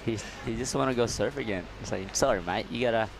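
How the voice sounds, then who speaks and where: A man's voice: lowish voice, quick pace, gravelly, crowd noise. A man with an Indonesian accent co-commentating live surfing, playful and laughing, with loose, broken phrasing.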